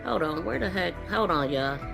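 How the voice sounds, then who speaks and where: A woman's voice: on the low side, expressive, normal speed, creaky, over music. A woman hosting a live true-crime commentary stream, talking informally and directly to her viewers in a casual, conversational way. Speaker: livestream podcast host.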